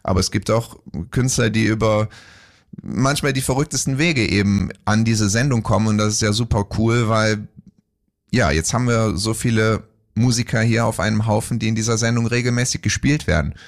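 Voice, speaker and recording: A man with a low voice hosts a radio music program from the studio. He asks his questions in a measured, friendly way. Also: steady tone. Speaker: radio show host. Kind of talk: radio interview.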